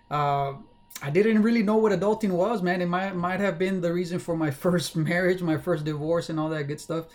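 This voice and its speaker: A young man from South Texas talks candidly in a casual livestream monologue, halting often with fillers and restarts. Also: a little high. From South Texas, USA. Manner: candid, personal. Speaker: livestream host.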